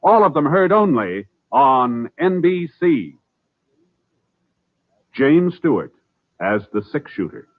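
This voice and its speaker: An American man announcing on 1950s network radio, reading scripted narration in a formal, dramatic and deliberate style. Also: a little high, very animated. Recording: tinny old radio broadcast with music.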